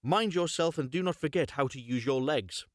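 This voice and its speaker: A man's voice: fairly high pitch, normal speed, dry recording, clean studio sound, natural voice. A man voicing a stern fairy-tale father who questions and chides his child. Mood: chiding. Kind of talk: storybook character reading.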